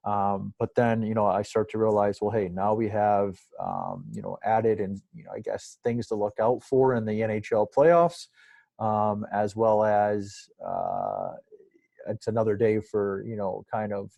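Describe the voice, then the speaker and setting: A man's voice: on the low side, animated, a little slow, vocal fry, dark tone, dry recording. A man giving a casual, rambling sports interview as a guest broadcaster, in a hesitant, conversational way.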